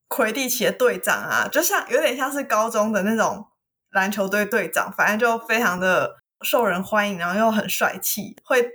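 Female podcast co-host, Taiwanese accent, mid-pitched and a touch husky, animated, explains things conversationally. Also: normal speed. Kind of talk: casual chat, retelling and explaining a story.

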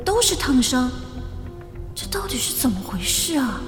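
Casting: soft-hearted young woman, evasive and pleading, very high voice, lively melody, modern radio romance. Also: normal speed, over music. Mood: puzzled. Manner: hesitant, coaxing.

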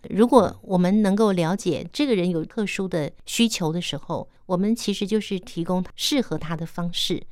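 Female radio interviewer, Taiwanese Mandarin accent, slightly low pitch, dry close sound, attentive and encouraging. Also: normal speed, few pauses, a little mellow, dry recording. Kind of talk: conversational interviewing.

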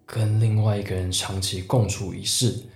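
A man's voice: on the low side, flat delivery, moderate pace, vocal fry. A young man acting in a radio drama as a hesitant, reserved man in his forties who is secretly in love. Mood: anxious, hesitant. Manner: hesitant, wary. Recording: roomy echo, guitar music behind.

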